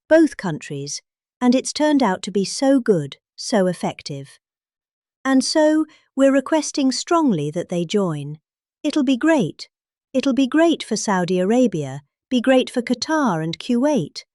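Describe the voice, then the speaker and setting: A middle-aged British woman reads aloud with pompous, deadpan gravity. Her pitch swoops up and down, and she leans on pointed pauses and heavy emphasis. The sound is close and dry, with no room around it. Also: no echo. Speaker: comic reader. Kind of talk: deadpan dramatic reading.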